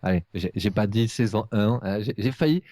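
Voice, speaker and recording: A man hosting a casual podcast chat, speaking in halting, hesitant phrases. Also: on the low side, dark tone, no room sound, studio quality. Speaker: podcast host.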